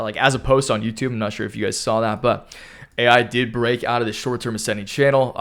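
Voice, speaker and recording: A young man hosting a stock market video, talking casually through charts with a hoarse voice, often clearing his throat. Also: on the low side, fairly bright tone. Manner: keeps clearing his throat, upbeat and hype-driven. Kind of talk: casual chart analysis talk.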